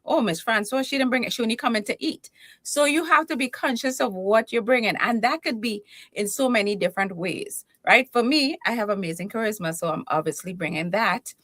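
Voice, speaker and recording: A woman's voice: on the high side, a little fast, fairly bright tone, no room sound. Playful Trinidadian woman, close and dry. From Trinidad and Tobago. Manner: playful, self-assured, humorous. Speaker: guest, keynote speaker and confidence coach. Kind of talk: conversational interview.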